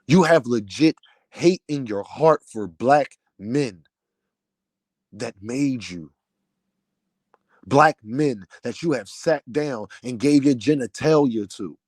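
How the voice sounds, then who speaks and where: Loud, heated man ranting with a deep voice. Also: animated, slow pace, long pauses, no room sound. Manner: loud, heated, preaching cadence. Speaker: vlogger, channel owner. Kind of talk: passionate rant to camera.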